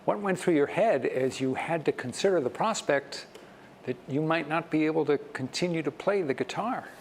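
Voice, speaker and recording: A man working as a TV news interviewer, asking clear, measured questions and reading a short wrap-up. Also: fairly high pitch, slight background noise.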